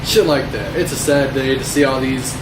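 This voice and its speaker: A man's voice: mid-range voice, fairly even intonation, normal speed. A young man hosting a sports show, bantering casually with profane, slangy opinions. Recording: distant, echoey room with clear noise.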